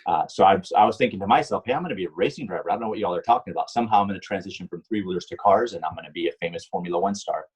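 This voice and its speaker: A middle-aged man from Puerto Rico tells long stories with a very deep, husky voice. He rambles, restarts his sentences and fills pauses with ums as he answers questions. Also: poor audio. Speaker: guest, stunt driver and car designer. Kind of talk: casual interview answers.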